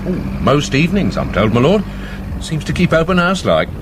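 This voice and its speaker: A man's voice: fairly high pitch, wildly swooping pitch, moderate pace. A man playing a deferential, chatty policeman in a radio drama, plain-spoken with a working-class English accent. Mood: obliging. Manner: respectful, plain-spoken, colloquial. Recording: street and car noise behind.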